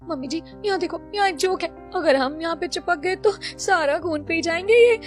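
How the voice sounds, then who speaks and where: A woman's voice: very high voice, normal speed, faint music behind, pitched above the performer's own voice. A woman voicing a timid, scheming young daughter-in-law in a cartoon story, whining and pleading dramatically about her in-laws. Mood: anxious pleading. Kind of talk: Hindi cartoon story voice acting.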